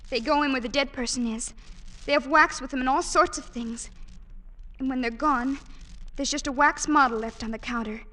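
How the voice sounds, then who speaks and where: An actress playing a frightened young woman held captive, speaking softly and pleadingly in an old-time radio drama. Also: sits high, normal speed. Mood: hushed. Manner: soft, fearful and pleading.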